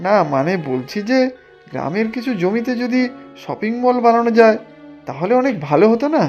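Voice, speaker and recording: A man's voice: high-pitched, very animated, fairly quick, darkish tone, dull audio, faint music behind. A man voicing an ambitious, greedy village official in a cartoon, talking in a persuasive, insistent way. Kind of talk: Bengali cartoon dialogue.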